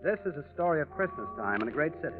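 A male announcer reads scripted narration for a vintage radio drama. His voice is slightly high, with a tinny recording and faint music behind. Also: sing-song, very fast.

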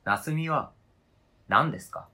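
A man's voice: on the high side, animated, measured pace, bright, forceful tone, exaggerated voice. A man acting in a radio drama reading as a wounded, indignant adult who argues with cold, pedantic logic and interrogates relentlessly. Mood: stern, interrogating. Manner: pedantic, interrogating, formal argumentative phrasing.

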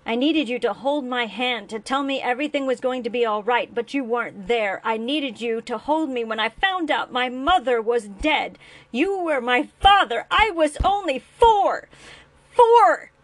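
A woman's voice: a little high, a little slow, dry recording. A woman voicing a grown man, a wounded and bitter son confronting the father who abandoned him, pleading in an aching voice. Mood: anguished. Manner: pleading, rising to anguished shouting.

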